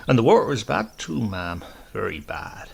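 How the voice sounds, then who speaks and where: Storybook rogue performed by a male reader: English countryman in his eighties, rustic accent, heavy gravel, swooping mock-pious deference. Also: on the low side, sing-song, a little hiss or hum, heavily acted. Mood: mock remorse. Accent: rural English. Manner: rustic dialect, deferential, repeats himself slowly for effect.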